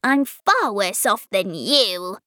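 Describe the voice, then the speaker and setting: A woman's voice: medium pitch, big pitch swings, slow pace, bright, forceful tone, no room sound, clean studio sound, exaggerated voice. A woman voicing a timid yet boastful, self-pitying little storybook man, plaintively.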